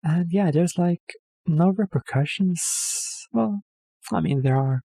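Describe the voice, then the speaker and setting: Mellow-voiced man rambling. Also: sits high, slow, vocal fry, husky, no room sound. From Norway. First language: Norwegian. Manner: hesitant, rambling, self-correcting with many fillers. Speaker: reaction video host. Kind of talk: casual rambling commentary.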